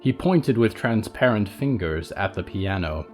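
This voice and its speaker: A man's voice: on the low side, lively intonation, measured pace, darkish tone. A man narrating scripted horror fiction as a storyteller, with instrumental music behind him.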